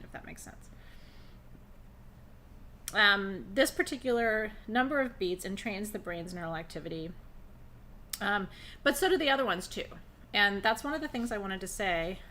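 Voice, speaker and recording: A middle-aged woman with a naturally low voice teaching a relaxed, conversational class on spiritual practice, pacing her words deliberately. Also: slight background noise. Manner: conversational, intentional pacing, laughs often. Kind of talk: live online class on shamanic drumming.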